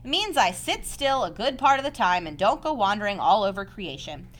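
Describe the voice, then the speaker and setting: A woman voicing a calm, wise female spider in a storybook, speaking in a brisk, precise, gently instructive and protective way. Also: mid-range voice. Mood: self-assured.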